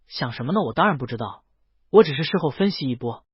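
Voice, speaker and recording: A man's voice: on the high side, animated, quick pace, breathy edge, no echo, highs cut off. A man voicing a playful, easygoing male college student in a casual, offhand audiobook dialogue style. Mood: defensive, joking.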